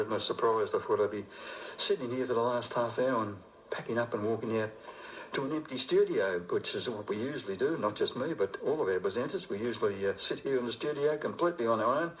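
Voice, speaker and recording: A man's voice: medium pitch, slight background noise, low-quality recording. An older man, talking informally and unhurriedly as a radio presenter signing off live, rambling a little. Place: radio studio.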